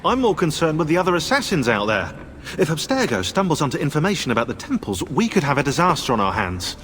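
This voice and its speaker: An actor playing a sardonic, anxious, quick-witted assassin in a video game, with clipped delivery. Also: male voice, fairly high pitch, very animated, a bit bright. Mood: worried, needling. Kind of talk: video game cutscene acting. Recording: long reverb, faint music.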